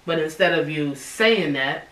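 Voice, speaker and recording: Man, bright voice, earnest opinionated podcast talk, roomy echo, distant mic. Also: fairly high pitch, expressive, a little slow. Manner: earnest, opinionated, repeats phrases. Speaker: podcast co-host. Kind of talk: casual podcast chat.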